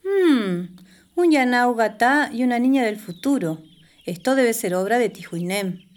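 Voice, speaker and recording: A woman as a solemn, higher-voiced village woman. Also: animated, a little slow. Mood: wondering. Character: solemn ancient Chaná village woman, welcoming and devout. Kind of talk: children's radio theatre acting.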